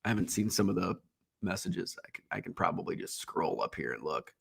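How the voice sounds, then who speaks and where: A man's voice: low voice, expressive, brisk, gravelly, husky. A congested man hosting a livestream, talking in a casual, rambling, blunt way and swearing freely. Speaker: livestream host. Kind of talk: casual solo chat.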